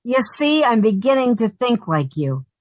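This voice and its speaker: A woman's voice: fairly high pitch, sing-song, moderate pace, no echo. An actress playing a wisecracking, exasperated but fond working woman in an old-time radio sitcom style, with wry delivery. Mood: sardonic, exasperated. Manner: wry, sardonic quips.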